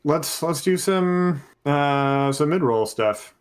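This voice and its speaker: A man's voice: on the high side, expressive, slow, clear, resonant tone. A man hosting a podcast in casual, informal chat with a joking manner. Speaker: podcast host and game master.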